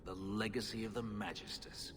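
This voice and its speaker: An actor playing a bitter escaped slave, an elf warrior, grave and scornful in a video game. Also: male voice, lowish voice, little pitch movement, moderate pace, natural voice. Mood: grim. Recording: long reverb, noisy ambient background.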